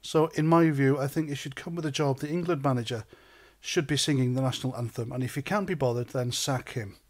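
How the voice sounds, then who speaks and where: A man's voice: medium pitch, fairly quick. A middle-aged man from North Wales with a North Welsh accent, a native Welsh speaker, giving a blunt, indignant, sweary opinion rant as a vlogger. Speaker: vlogger, ex-soldier.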